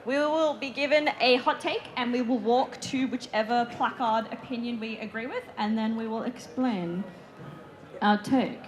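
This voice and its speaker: A woman's voice: on the high side, moderate pace, a little hiss or hum. A woman caster on a live convention panel, joking and laughing as she talks. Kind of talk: casual live panel chat. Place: convention stage before a crowd.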